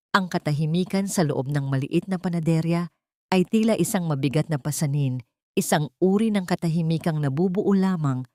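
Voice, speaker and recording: A woman's voice: on the low side, a little mellow, dry recording, studio quality. A woman with a Filipino accent narrating a scripted dramatic story.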